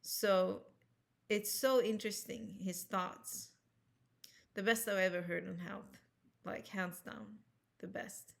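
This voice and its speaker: A woman with a Swedish accent tells her own story to the camera in a casual, earnest way. Her pitch sits in the middle of the usual range. She speaks English as a second language, rambles, and often stops to restart or correct herself. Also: fairly even intonation, takes it slowly, vocal fry, pauses often. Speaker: health vlogger. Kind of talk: casual personal talk to camera.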